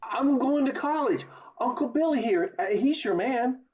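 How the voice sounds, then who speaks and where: Man acting earnest young idealist, swooping expressive delivery, husky, impassioned, radio play. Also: very high voice, moderate pace, heavily acted. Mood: pleading. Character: earnest idealistic young man defending his late father. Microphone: video call. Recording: muffled online video call audio.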